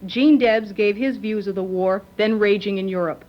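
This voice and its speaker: A woman's voice: mid-range voice, moderate pace. A woman narrating historical events in a plain, matter-of-fact scripted style. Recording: dull lo-fi recording.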